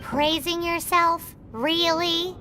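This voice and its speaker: A woman voicing a tiny, cheeky, childlike fairy companion in a video game, excitable and bratty. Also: very high voice, slow, slight background noise, full character voice. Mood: teasing, mocking. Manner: speaks of self in third person, bratty.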